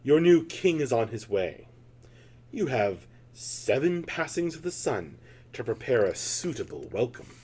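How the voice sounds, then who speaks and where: A man voicing a haughty, menacing woman warrior who proclaims loudly, as in a storybook. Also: mid-range voice, very animated, measured pace, a little hiss or hum, voice pushed up. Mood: haughty proclamation. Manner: loud, proclaiming.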